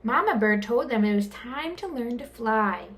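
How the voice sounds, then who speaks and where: A woman reading a children's storybook aloud in an expressive, dramatic way, drawing out words. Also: on the high side, moderate pace. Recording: clear background noise.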